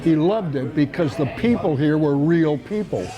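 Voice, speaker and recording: A man telling stories for a documentary in a deliberate way, repeating phrases for emphasis. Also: on the high side, lively intonation, dull audio, a crowd in the background. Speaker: documentary storyteller. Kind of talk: documentary storytelling.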